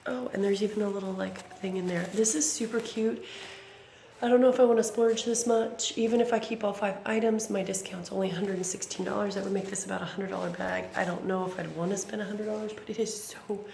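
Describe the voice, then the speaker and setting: A woman in her thirties, raspy from bronchitis, chatting casually through an unboxing with many ums and self-corrections. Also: medium pitch, fairly even intonation, fast talker, dark tone. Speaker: vlogger. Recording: echoey room with background noise.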